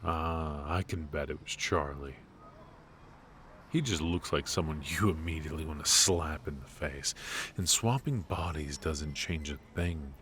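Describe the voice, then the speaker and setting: Man acting a very deep-voiced, smug mafia boss. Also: a bit bright, a little hiss or hum. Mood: calculating, curious. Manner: unhurried, smug, probing, with pauses and musing hums. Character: brilliant, ruthless, teasing mafia boss, calmly bargaining. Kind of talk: intimate ASMR roleplay, noir audio drama.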